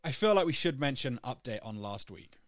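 A man's voice: medium pitch, big pitch swings, no room sound. Joking young man, dry close mic. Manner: joking, laughs often, drawn-out explanations. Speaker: podcast co-host. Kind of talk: casual chat.

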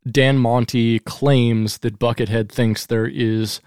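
A man's voice: medium pitch, fairly even intonation, slow, a little mellow, clean tone, no echo, clean studio sound. A man hosting a music podcast, joking and rambling as he leads the chat. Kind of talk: casual chat.

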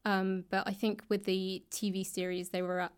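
A woman's voice: mid-range voice, level tone, moderate pace, clean tone. A British woman talking casually as an interview guest. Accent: British English. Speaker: guest, author and actor. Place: indoors in a public library room.

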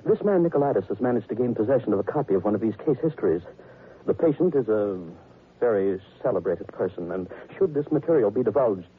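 A man's voice: on the low side, expressive, fast talker, natural voice. A man playing an anxious, formal psychoanalyst in a 1940s radio drama, speaking hesitantly and repeating his words. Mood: uneasy, earnest. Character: anxious, formal male psychoanalyst seeking discreet help. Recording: muffled, noisy 1940s radio recording.

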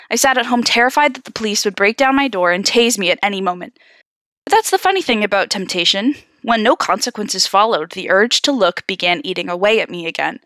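A woman hosting a story-reading show, switching between expressive narration and slangy, sarcastic casual asides. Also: mid-range voice, no room sound, studio quality. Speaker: podcast host reading horror stories aloud. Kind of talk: story read-aloud mixed with casual banter.

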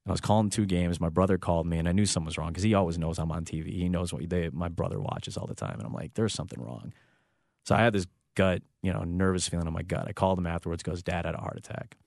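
A man's voice: low voice, quick pace, gravelly, a little mellow, no echo, studio quality. A man in his thirties, a sports broadcaster, telling a personal family story in a reflective, heartfelt way with frequent restarts. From United States. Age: 30s.

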